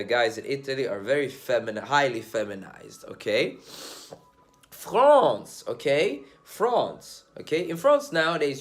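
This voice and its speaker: A man's voice: medium pitch, fairly bright tone. A young man from Sweden talking casually and bluntly to camera, slangy and swearing as he gives his views on dating. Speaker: dating commentator vlogger.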